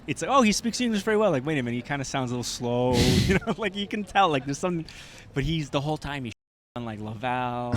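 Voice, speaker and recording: A man with a slightly raised voice co-hosts a casual comedy podcast. He rambles through a travel story, restarts his sentences and laughs often. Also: fairly high pitch. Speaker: podcast co-host.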